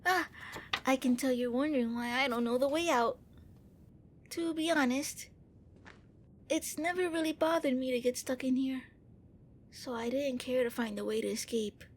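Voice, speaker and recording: A woman playing a gentle, bookish young vampire prince in an ASMR roleplay, speaking with hesitant, earnest courtesy. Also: high-pitched, normal speed, pauses often, slight background noise, natural voice. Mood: musing. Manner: hesitant, politely fussy, earnest.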